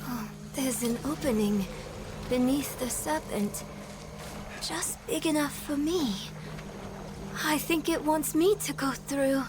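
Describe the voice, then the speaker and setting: An actress playing an awed young woman seer in a video game, hushed and often whispering in wonder. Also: high-pitched, slow pace, pauses often, noisy background. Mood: earnest. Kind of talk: dramatic video game acting. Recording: loud game music behind.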